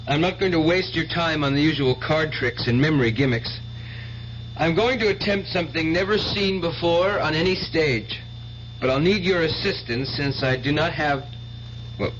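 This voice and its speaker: A man's voice: fairly high pitch, a bit bright. An actor playing a theatrical, pious and tormented mind reader with a declamatory showman's manner in a radio drama. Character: fanatical stage mind reader, tormented, pious, self-righteous killer. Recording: dull noisy old radio broadcast with hum.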